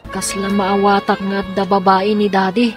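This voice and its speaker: A woman's voice: mid-range voice, completely flat delivery, moderate pace, over music, close to an everyday voice. Woman acting an insistent young daughter. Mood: determined. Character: scheming, determined young daughter troubled by parents. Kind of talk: radio drama acting.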